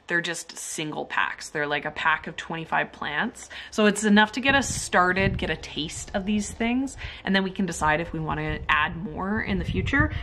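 A woman's voice: medium pitch, a bit bright, a little hiss or hum. Enthusiastic Canadian woman chatting casually. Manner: enthusiastic, rambling. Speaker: flower farmer and vlogger. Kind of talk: casual unboxing chat to camera. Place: indoors in a large cluttered garage workshop.

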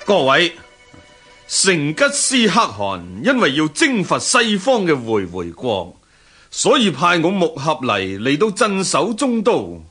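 An actor playing a commanding Mongol general in a Cantonese radio drama, with a Hong Kong accent and a declamatory, imperious manner. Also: male voice, a little high, huge pitch swings, takes it slowly, pushed and bright, husky, faint music behind, exaggerated voice. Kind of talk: historical radio drama acting.

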